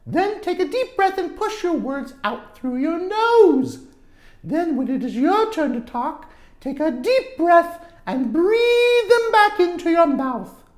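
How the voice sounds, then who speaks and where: A woman voicing a wise, patient storybook mother giving deliberate, instructive advice to her young son. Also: extremely high pitch, very animated, darkish tone, reverberant, voice pushed up, heavily acted.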